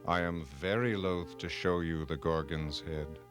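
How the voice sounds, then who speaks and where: A man's voice: extremely low pitch, fairly even intonation, a little slow, fairly bright tone, faint music behind, pitched below the performer's own voice. A man voicing a formal, grave young hero in a storybook reading. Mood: grave, reluctant. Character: young hero Perseus, respectful and resolute.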